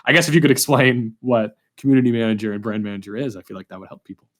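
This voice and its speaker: A man hosting a casual interview podcast, speaking informally and haltingly, often repeating his words. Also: lowish voice. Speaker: podcast host.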